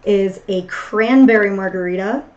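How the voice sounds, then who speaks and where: A woman in her thirties, hoarse and husky after losing her voice, co-hosting a casual livestream chat from a small room. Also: mid-range voice, moderate pace, a little mellow, lo-fi sound. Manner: laid-back, chatty. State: hoarse from lost voice. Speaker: co-host.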